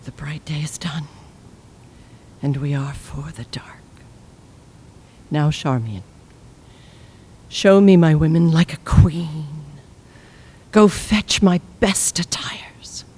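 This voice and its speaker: A woman's voice: low-pitched, takes it slowly, long pauses, darkish tone, breathy edge, heavily acted. A woman playing a grieving, regal Egyptian queen resolved on death, in stately, impassioned classical Shakespearean verse. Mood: grave. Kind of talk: classical Shakespearean stage acting.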